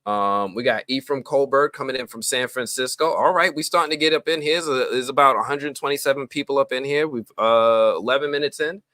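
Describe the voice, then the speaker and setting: A man hosting a live stream, chatting casually and greeting viewers in slang-heavy talk, his voice jumping up with excitement. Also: medium pitch, fairly quick, few pauses, no room sound, studio quality. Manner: excitable, voice jumps up when greeting people; relaxed slang-heavy talk with many fillers.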